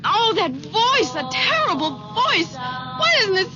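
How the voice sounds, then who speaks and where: High-voiced, frightened young woman. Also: big pitch swings, slow pace, heavily acted. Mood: anxious, pleading. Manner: breathless, anxious, emotional. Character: frightened, pleading young woman under a curse. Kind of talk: 1970s radio horror drama acting. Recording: dull vintage radio recording with music.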